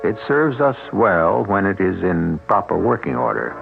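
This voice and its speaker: A man narrating a radio mystery drama in a grave, measured way. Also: low-pitched, lively intonation, creaky. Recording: tinny old radio broadcast with music.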